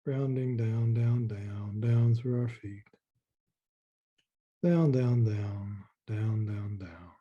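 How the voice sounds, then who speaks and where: A man leading a guided meditation in a soft, slow, calming way, with long pauses and repeated words. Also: lowish voice, breathy edge, lo-fi sound.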